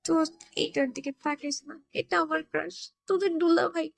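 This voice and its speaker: Woman acting very high, girlish college friend. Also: fairly even intonation, moderate pace, creaky, heavily acted. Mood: teasing. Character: chatty, teasing young female college friends. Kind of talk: scripted audio story dialogue.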